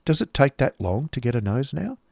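A man's voice: medium pitch, sing-song, a little fast, creaky, mellow, slightly rough, no room sound, voice pushed up, heavily acted. An earnest, courteous young man, voiced by a man who answers politely with a touch of brave humour. The voice sits a bit above the reader's own. The sound is close and dry. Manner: courteous, brave, mildly wry.

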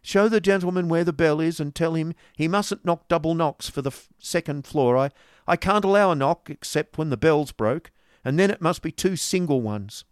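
A man's voice: on the high side, normal speed, smooth, no room sound, voice pushed up, close to an everyday voice. Male narrator doing a prim, dainty landlady of fifty, raised and fluttery, hesitant, in a dry booth. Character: mincing genteel woman of fifty, a landlady. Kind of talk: audiobook character voice.

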